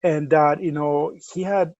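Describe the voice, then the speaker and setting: A man's voice: fairly high pitch, steady tone, measured pace, a little mellow, no echo, lo-fi sound. A man with a Salvadoran accent co-hosting a podcast, chatting easygoing and rambling with lots of fillers. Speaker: podcast co-host. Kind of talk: casual chat.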